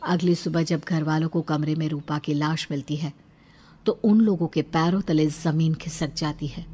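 A woman giving scripted narration for an animated horror story, with music underneath. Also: deep voice, normal speed, slightly rough.

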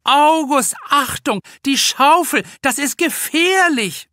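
Man acting fussy helicopter mother, audiobook character, high-pitched, agitated, scolding. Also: animated, slow, pushed and bright, no room sound, studio quality, heavily acted. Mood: alarmed warning. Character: overprotective, alarmed helicopter mother on the beach.

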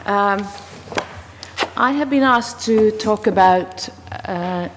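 A woman in her fifties with a Finnish accent speaks slowly and carefully into a desk microphone, using small fillers as she goes. Her voice sits in a middle range for a woman. It carries a long reverb from a large committee room. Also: mid-range voice, slight background noise. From Finland. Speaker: panel speaker. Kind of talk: prepared talk at a panel. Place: committee room in a parliament building.

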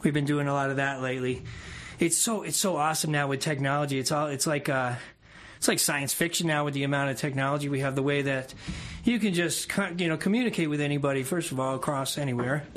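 A man's voice: fairly high pitch, fast talker, a bit bright. A man, a band musician and guest, chatting casually in an easygoing, jokey way. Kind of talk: casual chat.

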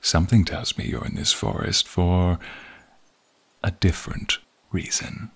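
Casting: a male actor as a smug, menacing fantasy archmage for ASMR roleplay, very low and husky, teasing and seductive. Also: a bit bright, exaggerated voice. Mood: teasing, probing. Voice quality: husky, breathy, gravelly. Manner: slow, teasing, smug, drawn-out murmurs. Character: alluring, menacing male archmage, smugly toying with captive.

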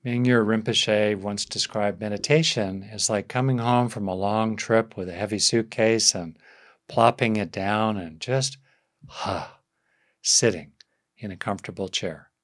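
A man leading a guided meditation as a teacher, speaking gently and softly in short phrases with long pauses. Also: on the low side, no room sound, clean studio sound.

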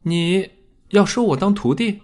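A man's voice: high voice, animated, a little slow, a little mellow, faint music behind, voice pushed up. A man voicing a sullen, stubborn young boy in an audiobook, in a huffy, terse manner. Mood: awed, hesitant. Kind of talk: audiobook character acting.

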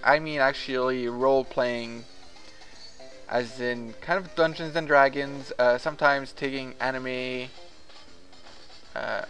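A man's voice: mid-range voice, fairly bright tone. A man whose first language is French, chatting casually in a rambling, hesitant way with lots of fillers while he plays a game. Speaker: gaming vlogger. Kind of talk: casual commentary over gameplay.

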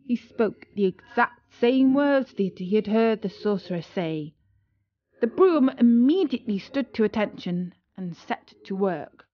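A woman's voice: mid-range voice, animated, a little slow, dry recording, highs cut off. A woman narrating a scripted story as a storyteller.

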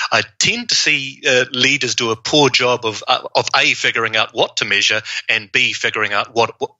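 A man with a New Zealand accent, a guest consultant answering interview questions in a measured, explanatory way with frequent fillers and repeated words. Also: lowish voice, sharp tone, far from the mic, low-quality recording.

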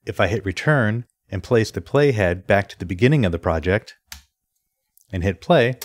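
A man presenting an instructional software screencast in a methodical, step-by-step way. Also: on the low side, expressive, normal speed, no room sound. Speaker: tutorial presenter.

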